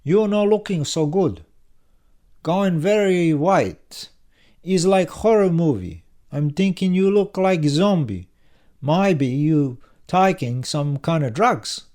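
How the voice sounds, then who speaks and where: Blunt, foreign-sounding taxi driver, raised pitch. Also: male voice, expressive, slow, a little mellow. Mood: concerned, suspicious. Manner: foreign-sounding broken English, insistent. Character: blunt, suspicious male immigrant taxi driver.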